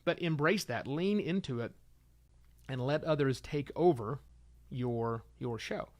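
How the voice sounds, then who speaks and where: A middle-aged man hosting a solo show, giving informal advice in an animated, emphatic way with conversational asides. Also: medium pitch, measured pace. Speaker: podcast host and consultant.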